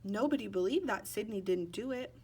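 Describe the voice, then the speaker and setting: A woman's voice: mid-range voice, animated, brisk, a little mellow, husky. A woman narrating a children's picture book expressively, stretching words and acting out sound effects. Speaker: storybook reader.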